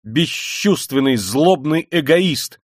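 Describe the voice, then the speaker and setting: A man's voice: on the high side, animated, slow pace, dry recording, studio quality, voice pushed up. Man voicing an indignant, reproachful young sister. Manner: reproachful, cutting.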